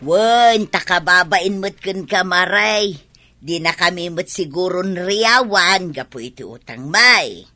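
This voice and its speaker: A woman's voice: fairly low pitch, lively intonation, slow, pushed and bright, no room sound, full character voice. An actress playing a proud, earnest village mother in an Ilocano radio drama, speaking plainly. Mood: reproachful, proud. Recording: music bed under the voice.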